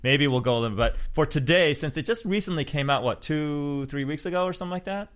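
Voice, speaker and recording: A man's voice: on the high side, fast talker, smooth. A man hosting a podcast, chatting casually and easily with a guest. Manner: easygoing, chatty, lively intonation. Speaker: podcast host.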